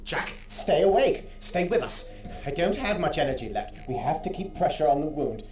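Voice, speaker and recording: A woman's voice: deep voice, sing-song, normal speed, darkish tone, reverberant, soft background music. A woman playing a protective, desperate companion in an audio drama, urgent, emotional and pleading with a wounded friend.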